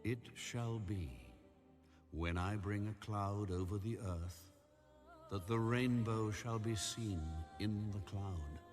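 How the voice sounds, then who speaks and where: A man narrating scripture in a solemn, measured, grave manner with deliberate pauses. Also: on the low side, soft background music. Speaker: audio Bible narrator.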